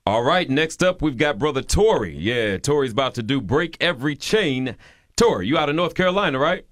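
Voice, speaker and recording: A man hosting a gospel call-in radio show, animated and teasing with callers. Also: medium pitch, dry recording, clean studio sound. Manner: animated, teasing, quick to laugh. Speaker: radio host.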